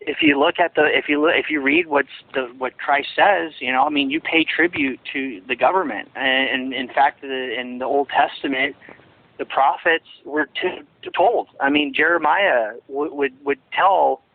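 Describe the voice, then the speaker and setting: A man's voice: mid-range voice, lively intonation, normal speed, creaky. A man giving a phone interview, talking in a rambling, emphatic way with many restarts. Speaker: interviewee, former associate of a ministry. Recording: tinny phone line, dry.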